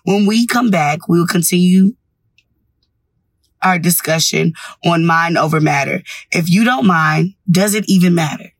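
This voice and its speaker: A woman's voice: low voice, slightly rough. A woman hosting a radio show, delivering a scripted motivational monologue in an encouraging, instructive manner.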